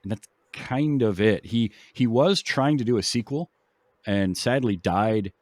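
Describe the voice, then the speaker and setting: A man hosting a film podcast, casually explaining background in a halting, explanatory way. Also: on the low side, no echo.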